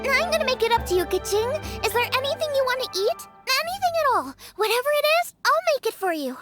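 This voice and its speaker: A friendly, eager young girl chef in an anime game. Her girlish voice is extremely high and very animated, full of heartfelt expression. Loud music plays underneath. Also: fast. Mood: eager to please. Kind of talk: anime-style video game voice acting. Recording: music behind the voice.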